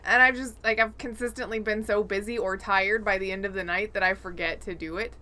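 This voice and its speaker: A woman's voice: mid-range voice, fairly even intonation, fairly quick, pushed and bright. A woman co-hosting a podcast in casual banter, with a blunt, mocking, sarcastic way of talking. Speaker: podcast co-host.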